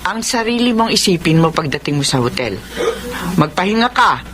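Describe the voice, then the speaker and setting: A woman acting a middle-aged mother, motherly and expressive, in a Filipino radio drama. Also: fairly low pitch, moderate pace, noisy background. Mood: caring. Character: middle-aged mother, caring but bitter.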